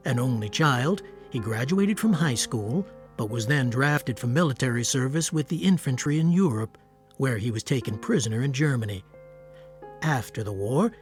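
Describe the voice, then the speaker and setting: Actor as earnest, wry storyteller, lively pitch, music behind. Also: male voice, close to an everyday voice. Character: earnest, wry American war-veteran writer, storytelling narrator. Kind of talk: radio drama acting and literary narration. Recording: music under the voice.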